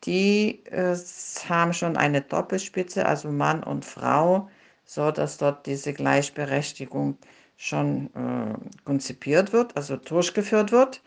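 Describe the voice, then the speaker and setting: A woman with a gravelly edge gives an interview answer very slowly. She pauses and searches for words, and her voice sits somewhat low.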